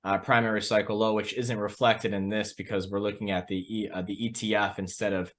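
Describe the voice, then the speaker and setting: A man's voice: on the low side, flat delivery, brisk, a bit bright. A man hosting a financial discussion, giving conversational market commentary and putting questions to an analyst.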